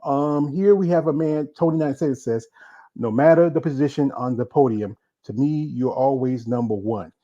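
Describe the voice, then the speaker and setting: A man's voice: medium pitch, normal speed, dark tone, dull audio. A man hosting an informal interview, chatting in a rambling way and reading out viewer comments. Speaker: interview host.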